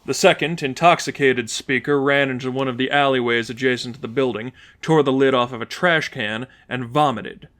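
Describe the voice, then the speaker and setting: A man's voice: medium pitch, normal speed, hardly any pauses, a bit bright. Casting: male storyteller, middle-register voice, reading prose fiction from a script. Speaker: fan fiction narrator. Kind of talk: scripted audiobook narration.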